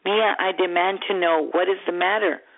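A woman's voice: on the low side, fairly even intonation, normal speed, no room sound. A woman voicing a pleading, insistent young man in love in a romance story reading. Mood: demanding. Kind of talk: audiobook-style romance reading. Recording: tinny phone-like radio line.

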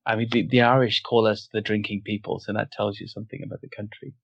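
A man who grew up in Wales, without a Welsh accent, talking as a guest in a casual interview and pausing thoughtfully to find his words. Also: fairly low pitch, flat delivery, vocal fry, slightly rough, dry recording. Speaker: guest author. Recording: dull, dry lo-fi audio.

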